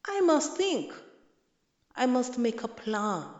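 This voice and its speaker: A woman voicing an eager, scheming old village man in a storybook, with slow, emphatic declarations. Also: a little high, sing-song, lo-fi sound, pitched above the performer's own voice. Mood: pondering. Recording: echoey, roomy sound.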